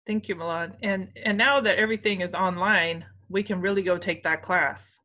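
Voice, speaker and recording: A woman's voice: on the low side, steady tone, normal speed, bright, forceful tone. Encouraging woman moderating a panel. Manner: encouraging, repeats points for emphasis. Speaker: webinar moderator. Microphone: video call.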